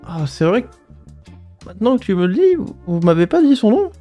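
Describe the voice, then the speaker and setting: A man voicing a frail, forgetful old grandmother in a care home, speaking slowly and hesitantly in a tabletop role-play. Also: high voice, sing-song, warm tone, faint music behind, voice pushed up.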